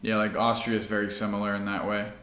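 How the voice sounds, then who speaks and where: A man's voice: on the low side, flat delivery, quick pace, fairly bright tone, echoey room. A male podcast host chatting in a laid-back way, saying "like" a lot. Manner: laid-back, heavy use of "like". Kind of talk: casual chat.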